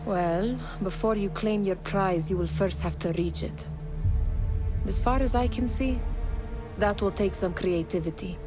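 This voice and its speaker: Lady acting a young thief companion in a game, dry and chiding, mid-pitched, music under it. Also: measured pace, lightly acted. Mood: dry, wry. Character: sharp-tongued, skeptical young female street thief, loyal companion. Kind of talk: dramatic video game voice acting.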